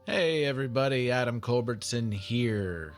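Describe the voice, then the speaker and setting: A man's voice: mid-range voice, animated, moderate pace. Man addressing listeners, faint music behind. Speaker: show producer and voice actor. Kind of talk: scripted thank-you announcement to listeners.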